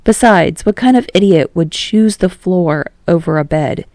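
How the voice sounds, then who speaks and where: A woman narrates a story in a scripted audiobook style. Her voice is on the low side with noticeable vocal fry. The recording is close and dry, without room sound. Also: animated, a little slow, mellow, no room sound.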